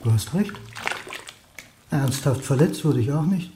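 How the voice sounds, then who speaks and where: An actor playing a self-doubting, wry historian who narrates a German radio crime drama in terse phrases. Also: male voice, on the high side, animated, measured pace, noisy background. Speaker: first-person narrator and protagonist. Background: instrumental music.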